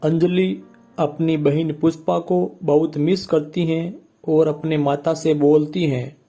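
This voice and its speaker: Slow, mellow male storyteller over music. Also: on the high side, steady tone. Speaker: story narrator. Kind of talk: scripted moral story narration in simple language. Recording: music bed under the voice.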